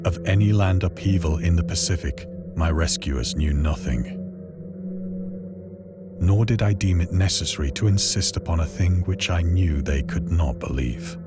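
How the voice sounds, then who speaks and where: A man acting as a haunted sailor with a very low voice narrates a horror adventure game. He tells his own tale of madness in grave, measured, literary phrases. Loud music and storm effects run beneath him. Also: lightly acted. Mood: grave, resigned. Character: haunted male sailor recounting a descent into madness. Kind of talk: dramatic first-person horror story narration.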